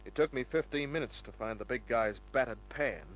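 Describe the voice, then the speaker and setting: A cocky hard-boiled detective, played by a man in an old radio drama, with a mid-pitched male voice. He is wry and smooth-talking, tossing off dry wisecracks as he narrates. Music runs in the old recording. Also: fairly quick, fairly bright tone, lightly acted, low-quality recording. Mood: wry narrating. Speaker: actor narrating and playing the lead.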